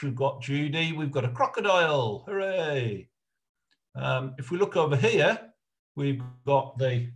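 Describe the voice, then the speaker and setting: A middle-aged man with a mid-range voice gives an informal talk as a lecturer. He speaks in a halting way, working out his thoughts aloud as he explains. It sounds like an online slideshow presentation made from indoors. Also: mid-range voice. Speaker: lecturer on puppet history.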